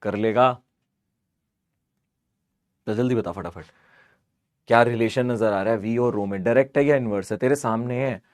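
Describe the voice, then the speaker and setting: A man with a hoarse voice teaches an informal live online maths class, teasing and scolding his students and repeating phrases for emphasis. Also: medium pitch, moderate pace, long pauses, a little mellow, dry recording, studio quality. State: hoarse, sore throat.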